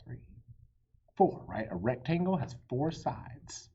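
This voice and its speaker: Male storybook reader and teacher: soft mellow tone, very breathy, animated delivery. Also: medium pitch, lo-fi sound. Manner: animated, pauses to quiz listeners. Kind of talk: expressive read-aloud for children.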